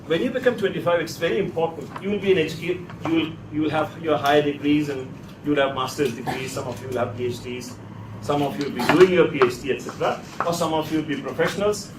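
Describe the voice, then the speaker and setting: Male lecturer, slightly high-pitched, emphatic and questioning, heard from across an echoey classroom. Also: fast talker. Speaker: teacher leading a workshop class. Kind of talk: classroom teaching with rhetorical questions. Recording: echoey, noisy room, distant mic.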